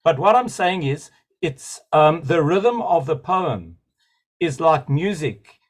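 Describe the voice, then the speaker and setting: A man's voice: fairly high pitch, lively intonation, slow. A man joining a webinar over a video call to share a long, enthusiastic comment that wanders from one thought to the next. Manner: enthusiastic, rambling, digressive. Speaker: webinar participant.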